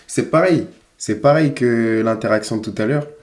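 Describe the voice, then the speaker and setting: A man's voice: medium pitch, normal speed, darkish tone, reverberant. A man giving casual, critical commentary as a dating coach, emphatic and repeating phrases for stress. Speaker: dating coach reviewing footage.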